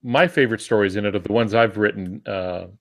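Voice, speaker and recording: A man, an author on a podcast panel, chatting casually about books in a halting, rambling way with frequent pauses. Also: lowish voice.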